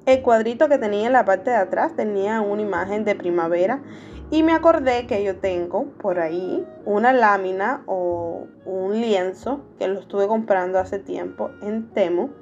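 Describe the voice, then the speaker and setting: A young adult woman narrating her home decor vlog in a chatty, relaxed way. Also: medium pitch, lo-fi sound. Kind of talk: casual voice-over vlog narration. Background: soft background music.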